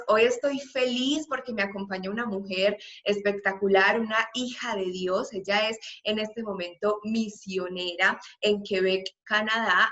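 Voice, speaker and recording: A woman hosting an interview, warmly and enthusiastically introducing her guest. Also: mid-range voice, lo-fi sound. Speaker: interview host.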